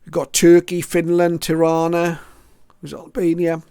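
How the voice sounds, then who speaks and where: Smooth, even-toned mature man narrating. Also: on the high side, measured pace, no echo, clean studio sound. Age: middle-aged or older. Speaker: shortwave radio hobbyist presenting his collection. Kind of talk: casual show-and-tell narration.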